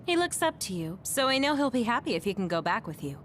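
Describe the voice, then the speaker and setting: A woman's voice: on the high side, sing-song, fast, a bit bright, a little hiss or hum. An actress playing a friendly, caring, teasing young woman in a military squad in a video game. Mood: gentle. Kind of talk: English video game dub acting.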